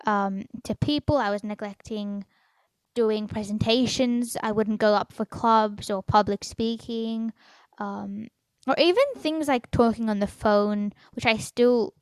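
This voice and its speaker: Teen girl, solo podcast host, slightly high voice, stammering and hesitant, fading at line ends. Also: steady tone, smooth, no echo. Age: teens. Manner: halting, with stutters, fillers and restarts; soft, trails off quietly at phrase ends.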